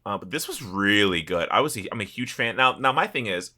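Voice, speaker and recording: Man, lower-pitched, bright voice, animated, swooping intonation, casual comedic podcast banter, swears freely. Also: brisk. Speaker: podcast host.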